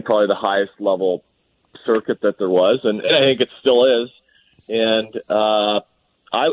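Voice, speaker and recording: Scratchy-voiced man on a phone line. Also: lowish voice. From Canada. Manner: rambling, easygoing, restarts often. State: hoarse from a cold. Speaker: professional bass angler, guest. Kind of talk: casual phone interview.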